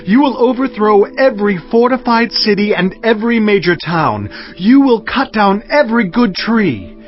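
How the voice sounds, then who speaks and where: A stern prophet of scripture, declaiming with solemn authority. His voice sits fairly high and swings widely in pitch. The sound is slightly dull, with music behind it. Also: very animated, moderate pace. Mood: proclaiming. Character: stern commanding male prophet speaking for God. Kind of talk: dramatized scripture audiobook acting.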